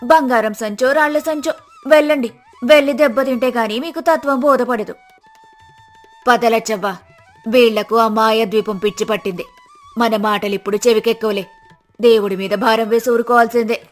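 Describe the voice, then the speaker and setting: A woman's voice: on the high side, moderate pace, pauses often, faint music behind, lightly acted. A woman playing an anxious, sensible village wife in a moral story, admonishing against greed with resignation. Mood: bitter resignation.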